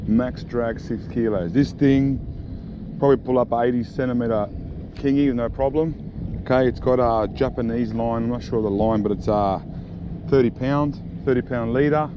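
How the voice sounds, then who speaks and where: A man's voice: medium pitch, fairly even intonation, moderate pace. A middle-aged man chatting casually about his fishing gear, filling his talk with "okay" and "all right," recorded on an action camera on a small boat. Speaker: fishing vlogger. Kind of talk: casual commentary while fishing. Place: small boat on a harbour, outdoors. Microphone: action camera on the angler. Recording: dull action-camera audio with wind noise. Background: wind and water noise.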